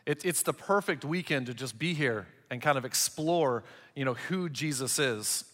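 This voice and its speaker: Bright joking pastor in a big hall. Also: male voice, medium pitch, animated, normal speed. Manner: joking, conversational. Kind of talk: sermon. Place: large church auditorium. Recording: long hall reverb.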